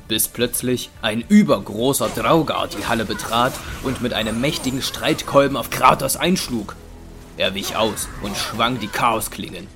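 A man narrating a scripted dramatic story in a measured, deliberate way. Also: lowish voice, gravelly. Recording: music under the voice.

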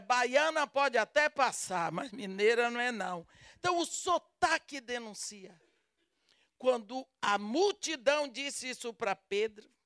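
Slow, smooth Brazilian woman preaching. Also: fairly high pitch, fairly bright tone, no echo, studio quality. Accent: Brazilian Portuguese. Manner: dramatic storytelling, acting out quoted lines. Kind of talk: sermon.

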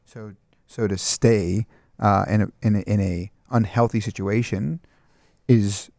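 A man's voice: on the low side, steady tone, measured pace, gravelly, a little mellow, dry recording, clean studio sound. A man co-hosting a podcast, talking reflectively and hesitantly, often repeating words. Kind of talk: casual podcast conversation.

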